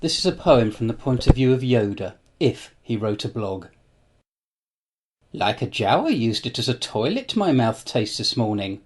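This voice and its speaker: A man's voice: medium pitch, darkish tone, breathy edge. A man in his forties reading his own comic rhyming poems in a dry, matter-of-fact way. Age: 40s. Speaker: guest poet.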